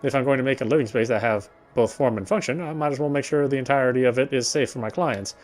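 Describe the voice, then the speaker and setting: Man reading a game aloud, halting. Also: mid-range voice, faint music behind. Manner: halting, self-correcting reading. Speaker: gamer reading a visual novel aloud. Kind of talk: read-aloud game narration.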